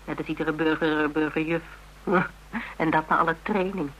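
A woman's voice: low-pitched, fairly even intonation, normal speed, fairly bright tone. An actress playing a spirited, teasing woman in a radio drama, telling things playfully and dramatically with self-mockery. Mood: self-mocking. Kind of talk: Dutch radio drama dialogue. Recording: hummy, noisy old radio recording.

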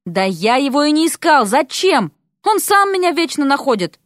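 A woman's voice: high-pitched, sing-song, moderate pace, no echo, clean studio sound, voice pushed up. A woman voicing a flippant young prince in an audio story, whiny, indignant and mocking.

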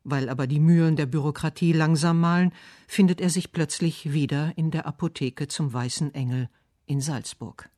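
A man reading scripted literary narration and verse for radio, measured and articulate. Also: on the high side, fairly even intonation, dark tone, no room sound, studio quality. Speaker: radio essay narrator and poetry reader.

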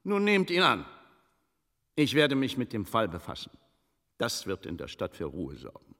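An actor playing a shrewd, composed scholarly investigator in a German radio drama, speaking deliberately. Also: male voice, lowish voice, wildly swooping pitch, pushed and bright, exaggerated voice. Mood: insistent, reasoning. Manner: measured, deliberate, probing questions. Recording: reverberant studio radio play sound.

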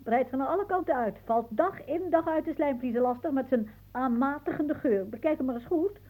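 Husky, whimsical old aunt. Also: female voice, sits high, lively intonation, moderate pace, poor audio. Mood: fussy, insistent. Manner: musing, theatrical, rambling, drifting into hushed asides. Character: whimsical, dreamy elderly aunt reminiscing in her garden. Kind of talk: radio play acting.

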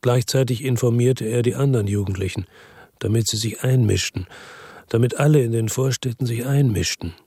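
A man's voice: mid-range voice, fairly quick, dark tone, breathy edge, no echo, studio quality. An actor playing a world-weary, tough detective, narrating his own story in a terse, matter-of-fact way for a radio drama. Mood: reflective.

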